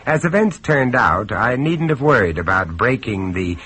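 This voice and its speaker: A man's voice: medium pitch, big pitch swings, normal speed, bright, forceful tone, breathy edge, heavily acted. An actor playing an urbane, sardonic horror star narrating his tale in a radio play. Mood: wry. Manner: sardonic, droll, polished. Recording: noisy radio drama recording.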